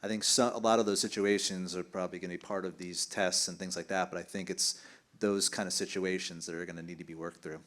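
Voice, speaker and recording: A man's voice: deep voice, fairly even intonation, fast, fairly bright tone. A man on a conference panel speaking casually and conversationally, making his points through everyday examples.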